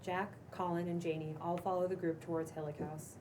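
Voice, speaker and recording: A woman reads stage directions as scripted narration at a table read. Her voice is a little low, and there is clear noise in the live room recording. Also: little pitch movement, a little fast.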